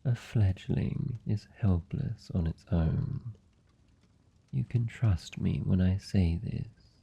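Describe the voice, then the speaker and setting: A man's voice: low voice, creaky, mellow, breathy edge. A British man playing a suave, seductive vampire lord in a fantasy ASMR roleplay, speaking formally and teasingly with commanding, predatory politeness. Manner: teasing, formal, silky and deliberate. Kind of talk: fantasy ASMR roleplay, slow intimate monologue.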